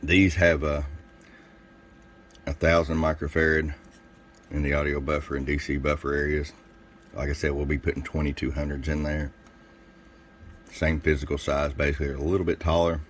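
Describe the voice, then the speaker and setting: Very deep-voiced man demonstrating gear. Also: little pitch movement, moderate pace, pauses often. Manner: folksy, informal, technical. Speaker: radio technician and seller presenting a product video. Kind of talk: casual workbench product demonstration. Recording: clear background noise, room ambience.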